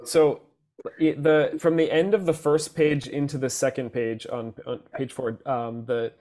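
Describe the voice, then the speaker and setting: A man with an average-pitched voice speaks in a conversational, questioning way. He is halting and searches for his words as he explains. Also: darkish tone. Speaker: choir teacher attending the session.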